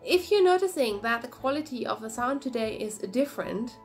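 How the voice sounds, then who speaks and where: A young woman with a fairly high voice talks quickly and eagerly to her viewers, a little sniffly from a head cold. She hosts a knitting podcast, and the sound comes from a phone at arm's length in a small room, with no proper microphone. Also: soft background music. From Germany. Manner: quick, energetic, chatty. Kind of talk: casual chat to camera. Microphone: phone camera at arm's length, no external mic.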